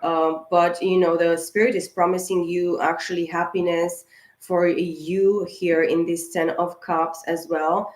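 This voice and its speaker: A woman giving a rambling spiritual tarot reading to camera, a little hoarse, clearing her throat and circling back through her thoughts. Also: lowish voice, little pitch movement, a little slow. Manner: rambling, with many fillers and restarts. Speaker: tarot reader. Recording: echoey room.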